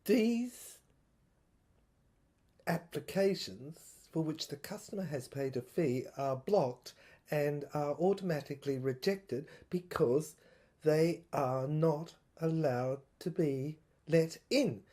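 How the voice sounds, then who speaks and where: A woman playing a troubled soldier in an audio drama monologue, thinking aloud in a halting, stammering, husky voice. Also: deep voice, mellow. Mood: puzzled discovery. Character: troubled soldier piecing together a dead professor's secret diary.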